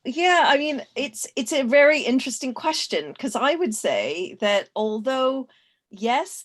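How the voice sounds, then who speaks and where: Thoughtful Canadian-born woman panelist. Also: mid-range voice. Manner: thoughtful, with frequent fillers and restarts. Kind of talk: casual panel discussion.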